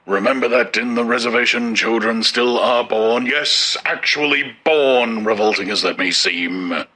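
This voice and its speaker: A male narrator playing a pompous older official who booms out facts and unasked-for advice. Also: a little slow, sharp tone. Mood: leering. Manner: booming, lecturing, self-satisfied.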